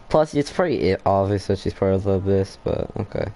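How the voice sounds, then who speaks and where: Low, mellow young man thinking aloud. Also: expressive. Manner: halting, rambling, thinking aloud. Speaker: gaming video host. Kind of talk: casual playthrough commentary. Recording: faint noise, game sound behind.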